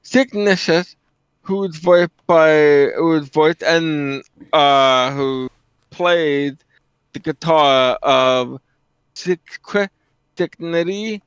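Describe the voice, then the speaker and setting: A man's voice: fairly high pitch, very slow, fairly bright tone, smooth, no echo. A man co-commentating on a livestream, chatting casually over dull voice chat audio.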